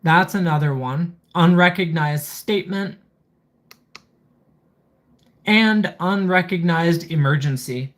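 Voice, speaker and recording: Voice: mid-range voice, slow, long pauses. A reviewer hosting a casual live product walkthrough, methodically reading items out and commenting on them. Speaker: livestream host reviewing gadgets.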